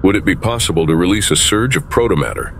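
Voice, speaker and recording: A man acting in an audio drama as a calm, principled starship captain, measured and authoritative, with rumble behind him. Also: vocal fry, soft background music, heavily acted. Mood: thoughtful, decisive. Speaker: lead actor playing a starship captain.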